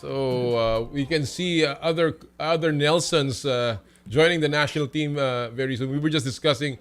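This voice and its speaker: Higher-voiced Filipino man hosting. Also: normal speed, fairly bright tone, clean tone, soft background music. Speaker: talk show host. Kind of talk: conversational live interview.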